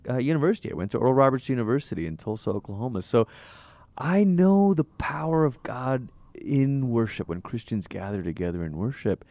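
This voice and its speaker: A pastor from Malaysia talks through his ideas in a warm-paced interview, often rising in pitch when he gets excited and laughing easily. His voice sits on the low side. The sound is dry and close. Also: no room sound. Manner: animated, thoughtful, quick to laugh. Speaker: pastor and author. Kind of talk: conversational interview.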